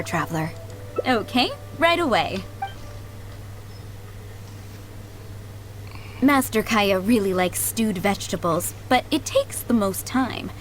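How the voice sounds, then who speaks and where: A woman voicing a kindly, middle-aged head maid in a video game, polite, doting and gently chatty. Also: medium pitch, close to an everyday voice, rough recording. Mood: helpful, polite. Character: kindly motherly head maid, fondly remembering boys she raised. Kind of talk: video game character acting. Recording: background music under the voice.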